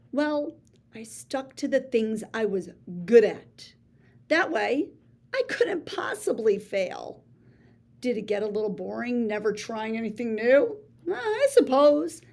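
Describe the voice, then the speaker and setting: Slow, theatrical woman reading aloud. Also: vocal fry. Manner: theatrical, playful, big pitch swings; boastful first-person storybook voice. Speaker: read-aloud storyteller. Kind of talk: children's picture book read-aloud.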